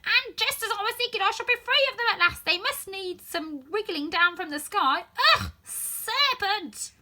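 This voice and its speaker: A fretful pigeon shrieks and scolds in a high, piercing voice that leaps and swoops, loud and sharp-edged, as a woman reads it out. It sounds like a storybook bird fussing over its nest. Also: big pitch swings, moderate pace, full character voice. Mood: scolding. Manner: shrill, indignant, scolding.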